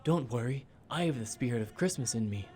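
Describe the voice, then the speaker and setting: An actor in a radio play playing a cheerful, good-natured younger nephew with a jovial, friendly way of talking. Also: male voice, medium pitch, expressive, a little fast, darkish tone, faint music behind, lightly acted.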